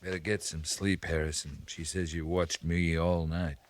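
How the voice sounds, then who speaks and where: A man acting a deep-voiced, steady cavalry captain. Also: moderate pace, natural voice. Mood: firm, commanding. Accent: American. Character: steady, terse cavalry captain, courteous but firm. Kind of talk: 1950s radio western acting. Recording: vintage 1950s radio recording.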